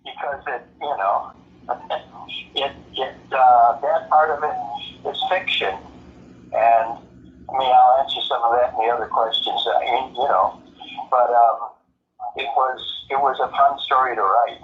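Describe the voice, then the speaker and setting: A man being interviewed as a writer, talking casually and reflectively in a halting, musing way. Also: mid-range voice, steady tone. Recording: muffled phone-like call, faint noise.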